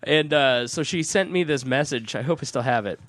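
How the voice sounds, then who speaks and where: Male podcast host, hoarse, loopy and giggly, dry close sound. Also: mid-range voice, fairly quick, fairly bright tone, no room sound. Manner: giggly and loopy from cough medicine. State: hoarse from losing his voice. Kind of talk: casual podcast chat.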